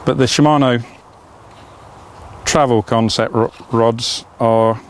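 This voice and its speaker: A man's voice: medium pitch, steady tone, takes it slowly, slight background noise. Chatty man showing fishing rods. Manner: enthusiastic, chatty, frequent "uh" fillers. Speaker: fishing tackle presenter. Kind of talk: product demonstration.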